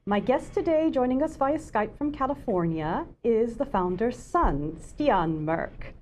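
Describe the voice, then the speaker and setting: A woman's voice: fairly high pitch, sing-song, a little slow, darkish tone, slight background noise. A woman hosting an interview, asking polite and friendly questions. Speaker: host.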